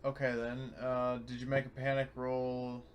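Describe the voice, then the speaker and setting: A man's voice: medium pitch, completely flat delivery, normal speed, slight background noise. A man in casual tabletop game talk, reading out questions and thinking aloud hesitantly. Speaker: tabletop player reading out end-of-session questions.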